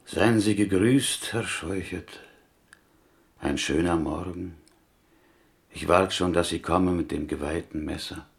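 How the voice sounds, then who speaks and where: A man acting a pious, gentle, wryly plaintive small-town prisoner from Galicia in a German radio play, speaking in deliberate, melodic, inverted sentences. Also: low-pitched, creaky. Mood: solemn, yearning. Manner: deliberate, melodic phrasing with inverted word order.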